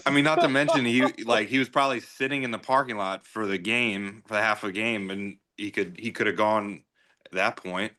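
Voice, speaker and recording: A man's voice: fairly low pitch, fairly quick, sharp tone. A man reminiscing casually as a podcast guest, repeating phrases and leaning on "like" as he goes. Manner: informal, repeats phrases, frequent "like".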